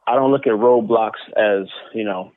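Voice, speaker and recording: A man's voice: fairly low pitch, normal speed, dry recording. A man being interviewed as a guest, chatting casually and informally, often repeating words and phrases as he goes. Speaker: interview guest, comic book publisher. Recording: muffled phone-like line.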